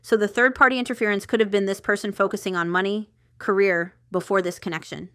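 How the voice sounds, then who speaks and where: A woman's voice: mid-range voice, fairly even intonation, fast, a bit bright, smooth, no echo, studio quality. A woman giving a casual, intuitive tarot reading straight to the viewer, chatty and thinking aloud with rambling asides. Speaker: tarot reader and channel host.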